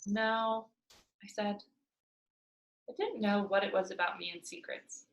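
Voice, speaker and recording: A woman's voice: medium pitch, steady tone, measured pace. A woman reading a children's novel aloud expressively, as a storyteller at home. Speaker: read-aloud narrator. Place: indoors at home by a fireplace. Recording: roomy home recording.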